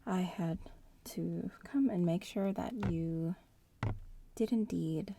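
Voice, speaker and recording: A woman playing a bratty young witch in training in a whispered ASMR roleplay, teasing and explaining in a breathy voice. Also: lowish voice, slow pace, warm tone, slight background noise. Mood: instructive, focused. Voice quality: breathy whisper, mostly unvoiced.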